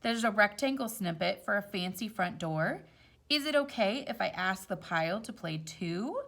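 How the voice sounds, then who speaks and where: A woman's voice: medium pitch, animated, moderate pace, creaky, fairly bright tone. A woman voicing a shy, kind little male shape in a children's storybook, polite and eager to help.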